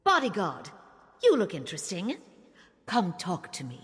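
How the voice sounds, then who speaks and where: Haughty, husky queen in a hall. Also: lowish voice, huge pitch swings, moderate pace, fairly bright tone, heavily acted. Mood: disdainful. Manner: imperious, languid, disdainful. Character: haughty usurper queen, scornful and cruelly seductive. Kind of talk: video game character acting. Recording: long reverb of a large hall.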